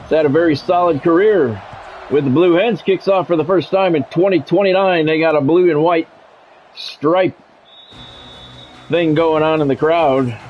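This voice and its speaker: A man's voice: a little high, normal speed, faint music behind. American man, wry game commentary, dull sound. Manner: informal, wry and self-deprecating, occasional swearing. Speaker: game streamer doing football play-by-play. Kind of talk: casual chat mixed with sports commentary.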